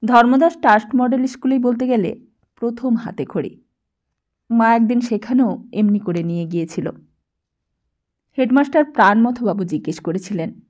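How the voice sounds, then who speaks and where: A woman's voice: on the high side, darkish tone. A woman narrating a literary novel as an audiobook, reading from a script.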